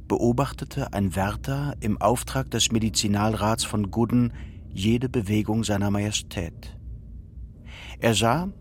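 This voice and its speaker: A man narrating a literary radio drama in a measured, solemn manner. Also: lowish voice, lightly acted.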